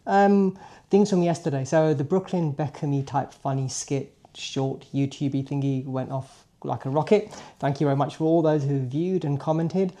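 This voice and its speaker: A man's voice: on the high side, steady tone, normal speed, mellow. A man in his late forties, a native Punjabi speaker, talking chattily and informally in a daily vlog, often checking in with a questioning tag. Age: late 40s. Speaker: car vlogger. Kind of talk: casual daily vlog monologue to viewers.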